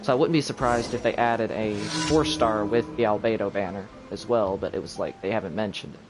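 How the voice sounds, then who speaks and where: A man's voice: on the low side, a little fast, rough recording. A man giving casual live commentary while streaming a video game. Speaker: game livestreamer. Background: video game music.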